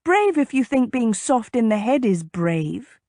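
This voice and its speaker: A woman's voice: fairly high pitch, moderate pace, darkish tone, no room sound, studio quality, lightly acted. A woman voicing a spirited, stubborn young noblewoman in an audiobook, with emphatic, formal period phrasing. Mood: scornful. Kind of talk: audiobook character dialogue.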